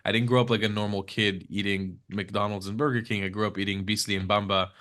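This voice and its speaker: Young man, Hebrew first language, lowish voice, loose rambling guest chatter. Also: steady tone, fast, a bit bright. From United States. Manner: rambling, many fillers and restarts. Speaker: podcast guest. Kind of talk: casual conversational interview. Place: Israel.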